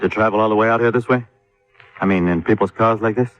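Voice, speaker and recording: An actor playing a suspicious killer posing as a casual traveler in a radio drama, with a clipped, probing, offhand manner. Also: male voice, fairly low pitch, quick pace, dull audio, soft background music, lightly acted. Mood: wary, casual. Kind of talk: 1950s radio drama acting.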